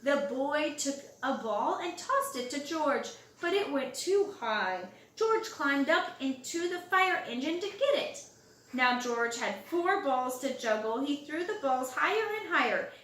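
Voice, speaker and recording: A woman reads a children's storybook aloud in an expressive way, drawing out words for young listeners. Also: high voice, slight background noise. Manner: expressive, drawn-out words and loud acted exclamations for young listeners. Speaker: storybook reader. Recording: roomy home recording, distant mic.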